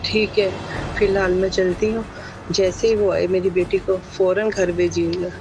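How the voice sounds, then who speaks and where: A woman's voice: on the low side, flat delivery, normal speed, lightly acted, poor audio. A woman playing a worried village mother. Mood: anxious. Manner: caring, anxious.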